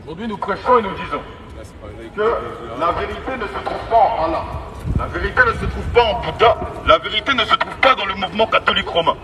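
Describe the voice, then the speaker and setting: A man's voice: high voice, fairly quick, a loud crowd. A man preaching an evangelistic street sermon through a megaphone, emphatic, repetitive and exhorting. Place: outdoors in an urban street. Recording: distant, noisy outdoor megaphone with street traffic.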